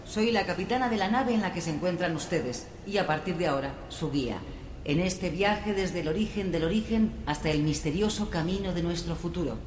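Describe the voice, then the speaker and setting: Woman playing a lowish, courteous ship captain. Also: brisk, lightly acted. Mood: courteous, formal. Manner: formal, welcoming. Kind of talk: scripted audio drama narration. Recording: echoey with clear background noise.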